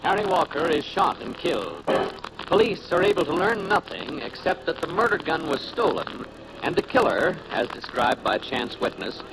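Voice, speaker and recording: Animated 1940s radio narrator man. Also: on the high side, normal speed, creaky, poor audio. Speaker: show announcer and narrator. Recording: old 1940s radio recording with music.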